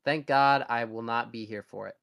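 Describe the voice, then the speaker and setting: A man's voice: mid-range voice, steady tone, moderate pace, creaky, dull audio. A young man co-hosting a podcast, chatting casually in a rambling, sarcastic way with frequent restarts. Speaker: podcast co-host. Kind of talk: casual chat.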